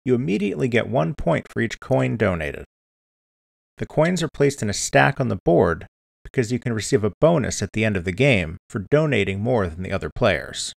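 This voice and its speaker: Lively-pitched man narrating instructions, creaky edges. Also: moderate pace, no room sound, studio quality. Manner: clear, methodical, step-by-step explaining. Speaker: board game tutorial presenter.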